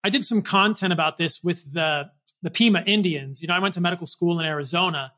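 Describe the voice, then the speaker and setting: A man's voice: sits high, fast, pushed and bright. A man in his forties talking conversationally as a podcast host, close to a desk microphone. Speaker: doctor. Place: small home office, indoors.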